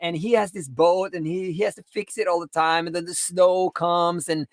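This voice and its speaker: A woman co-hosting a casual livestream, telling rambling anecdotes in a halting, repetitive, teasing way. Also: fairly low pitch, fairly even intonation, no echo, clean studio sound. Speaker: livestream co-host.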